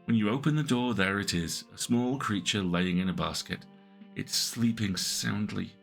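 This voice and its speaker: A man reading interactive fiction aloud on a stream, with measured, atmospheric narration. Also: fairly low pitch, gravelly, a bit bright, faint music behind. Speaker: game narrator.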